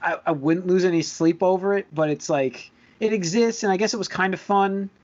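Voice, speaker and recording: Man, podcast host, slightly high voice. Halting, hedging, conversational delivery. Dull, lo-fi sound. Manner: halting, hedging, repeats words. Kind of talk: casual chat about movies and shows. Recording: dull lo-fi voice recording.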